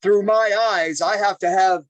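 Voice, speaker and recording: Podcast guest: man, high voice, animated storyteller who repeats himself. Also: unhurried, no echo, dull audio. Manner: animated, talks in bursts with repeated phrases. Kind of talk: casual storytelling interview.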